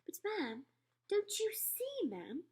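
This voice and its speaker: A woman's voice: very high voice, wildly swooping pitch, slow, darkish tone, voice pushed up, exaggerated voice. A woman reading aloud and voicing a timid, deferential maid who quavers and keeps saying ma'am. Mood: earnest.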